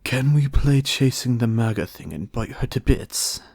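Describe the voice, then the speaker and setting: A man's voice: medium pitch, lively intonation, moderate pace, gravelly, a little mellow, no echo, voice pushed up. A man voicing a gleeful predatory spirit wolf from a storybook, whispery and growling, menacing and playful in simple words. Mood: impatient growling. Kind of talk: storybook character reading.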